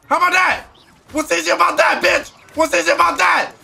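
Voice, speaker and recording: A young man in his twenties, a streamer giving crude, loud, excitable commentary while playing a game, talking into a headset in his bedroom. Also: very high voice, a little fast, gravelly, faint music behind. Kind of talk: crude live gaming commentary. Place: pink-lit bedroom, indoors.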